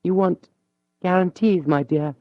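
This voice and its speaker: A man's voice: high voice, measured pace, no room sound, voice pushed up. A man reading an audiobook, voicing a proud, passionate young noblewoman who pleads in a heightened, imploring way. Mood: pleading. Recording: dull dry studio audio.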